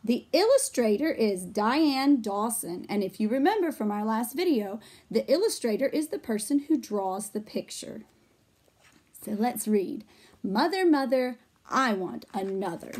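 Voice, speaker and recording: A woman in her thirties reading a picture book aloud to children in a gentle, expressive way, explaining as she goes. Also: fairly high pitch, a little slow, husky. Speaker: storytime reader. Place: small furnished room at home.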